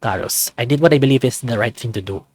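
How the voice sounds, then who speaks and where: Man voicing a soft, low, placating creature scientist. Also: fast talker, no room sound, close to an everyday voice. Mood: placating, earnest. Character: soft-spoken, placating male scientist creature, secretive, flattering.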